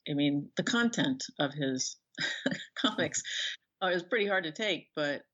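A middle-aged woman talks in a casual interview over slightly dull, lo-fi audio. She wanders through her thoughts haltingly, often restarting a sentence partway. Her delivery is conversational, like an author discussing her work. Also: deep voice, lively intonation, fairly bright tone. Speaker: author and illustrator being interviewed.

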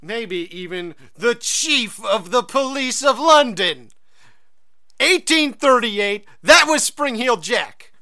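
A man's voice: very high voice, lively intonation, slow pace, sharp tone. A man co-hosting a podcast in casual chat, rambling and hesitant, full of fillers and restarts. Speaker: podcast co-host.

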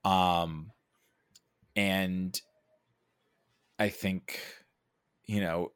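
A man's voice: sits low, fairly even intonation, very slow, a bit bright, clear, resonant tone, no room sound, clean studio sound. A man in a casual film discussion, thinking aloud and often correcting himself mid-sentence. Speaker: podcast guest or co-host discussing a film.

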